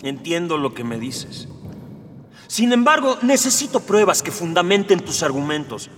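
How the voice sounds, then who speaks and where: Lively, high-voiced Mexican hero. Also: male voice, moderate pace. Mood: earnest, wary. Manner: emphatic, earnest. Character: stranded Mexican earthling, principled, skeptical good-natured man. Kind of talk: Spanish-language radio drama acting. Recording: noisy, long reverb.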